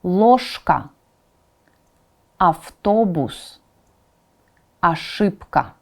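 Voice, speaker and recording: A woman's voice: on the low side, expressive, extremely slow, vocal fry. A woman teaching a pronunciation lesson, repeating example words distinctly and checking in with learners informally. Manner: informal asides, checks in with "right?". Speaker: Russian language teacher.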